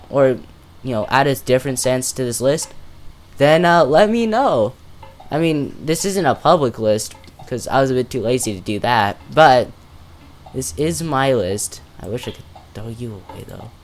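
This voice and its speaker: Casual, rambling commentator over guitar music. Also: medium pitch. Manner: hesitant, thinking aloud, informal slang. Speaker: video creator presenting a character ranking. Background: music, plucked guitar.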